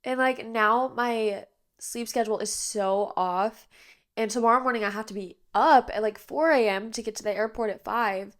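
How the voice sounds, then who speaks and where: A woman's voice: on the high side, moderate pace. A young woman in her twenties hosting a podcast from her bedroom, chatting casually, a bit nasal and congested, tired but bubbly, with lots of fillers. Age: 20s. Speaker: podcast host. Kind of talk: casual chat. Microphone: iPad on a tripod.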